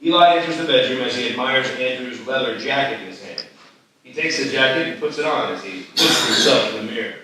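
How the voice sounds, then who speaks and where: A man's voice: medium pitch, fairly quick, bright, forceful tone. A man reading stage directions as scripted narration at a live screenplay table read. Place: live festival reading in an echoey room. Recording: echoey hall, distant mic, faint noise.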